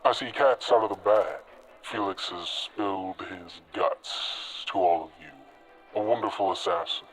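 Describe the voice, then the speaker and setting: Man playing a low, imperious ancient spirit over music. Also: a little slow, fairly bright tone, poor audio. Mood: smug, commanding. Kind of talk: dramatic role-play acting.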